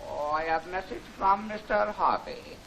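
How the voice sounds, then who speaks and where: An actor playing a polite, deferential Chinese man, with a put-on Chinese accent and halting broken English, in a 1940s film serial. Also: a little high, huge pitch swings, gravelly, pushed and bright, exaggerated voice. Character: soft-spoken, courteous Chinese man in disguise, deferential. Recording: hissy, noisy old film soundtrack.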